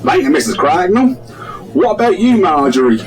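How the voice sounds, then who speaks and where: An actor playing a formal, officious village police constable in a comic radio drama. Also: male voice, high voice, sing-song, moderate pace, a crowd in the background, poor audio. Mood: officious, inquiring.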